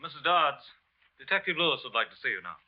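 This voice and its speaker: Brisk, lively office underling. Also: male voice, fairly high pitch, breathy edge. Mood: deferential. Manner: quick, solicitous. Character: brisk, puzzled, deferential male office employee. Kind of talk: 1940s radio drama acting. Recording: dull old-time radio recording.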